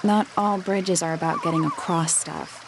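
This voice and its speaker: A woman playing a blunt, observant detective's sidekick with deadpan, laconic delivery in a mystery adventure game. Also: fairly low pitch, moderate pace, noisy background, lightly acted. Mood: deadpan, teasing. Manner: deadpan, laconic, matter-of-fact.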